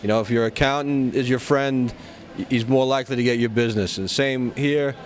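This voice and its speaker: A man with a medium-pitched voice answers questions informally. His phrasing is halting, with frequent stops and restarts. Faint ambient noise sits behind him. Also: steady tone. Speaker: actor being interviewed. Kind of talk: casual event interview.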